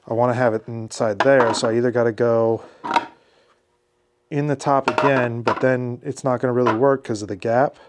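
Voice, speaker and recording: A man's voice: medium pitch, fairly even intonation, normal speed, noisy background. A congested man with a head cold narrating his car build in a cluttered garage, laid-back and thinking aloud with restarts. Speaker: car builder filming his shop project. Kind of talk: casual how-to narration. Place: cluttered home garage shop.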